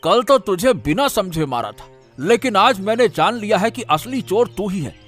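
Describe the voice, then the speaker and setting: A man acts out a scheming village orchard owner from a cartoon fable. His voice sits high for a man and he blusters, barking repeated orders like a bully. Faint music runs behind him. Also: sing-song, normal speed, fairly bright tone, heavily acted. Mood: furious, threatening. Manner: blustering, exclamatory, repeats commands. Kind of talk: Hindi cartoon moral-story voice acting.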